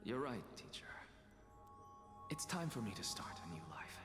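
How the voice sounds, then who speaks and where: An actor playing a defiant, arrogant young male scholar in a video game, with dramatic, deliberate delivery. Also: medium pitch, sing-song, whispered, natural voice. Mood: resigned, quiet. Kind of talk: theatrical video game voice acting. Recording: long reverb, game music behind.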